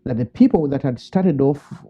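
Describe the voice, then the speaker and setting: Animated man, muffled line. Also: medium pitch. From Uganda. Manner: thoughtful, halting restarts, rhetorical questions. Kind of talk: reflective interview answers. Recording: muffled lo-fi call audio.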